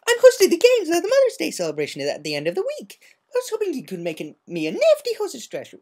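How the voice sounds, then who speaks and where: Woman doing a squeaky-high bubbly cartoon pony. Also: fast, heavily acted. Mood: excited rambling. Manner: rambling, chirpy, excitable. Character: bubbly, scatterbrained, bouncy female pony.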